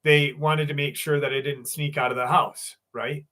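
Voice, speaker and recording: A man's voice: fairly high pitch, fairly even intonation, moderate pace, bright, forceful tone. A man hosting a live stream, telling stories in a loose, profane way and greeting viewers by name. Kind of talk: casual live stream chat and storytelling.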